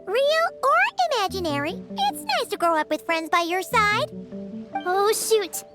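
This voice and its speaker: A woman's voice: very high voice, animated, measured pace. A sweet, motherly little girl. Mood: cheerful. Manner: sweet, earnest, looks after her friends. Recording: game audio with soft music underneath.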